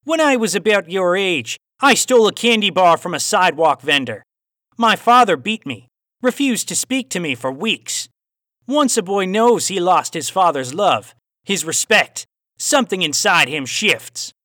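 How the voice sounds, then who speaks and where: A theatrical phantom cowboy villain from an audiobook, Australian with a hint of twang. The voice is raised, bright and forceful, and very animated. He puts formal, taunting questions with deliberate menace. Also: sits high, dry recording, clean studio sound, voice pushed up, full character voice. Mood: bitter menace. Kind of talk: audiobook character voice.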